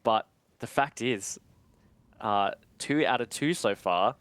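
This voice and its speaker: A man's voice: medium pitch, slow pace, fairly bright tone, smooth, no room sound. A man giving analytical live esports commentary in a conversational way with casual asides.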